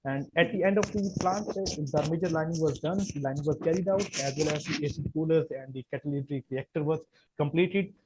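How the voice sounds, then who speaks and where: A man with a Pakistani accent, a Punjabi speaker, answering podcast interview questions as a chemical engineer, talking haltingly with many fillers and restarts. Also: fairly high pitch, background noise.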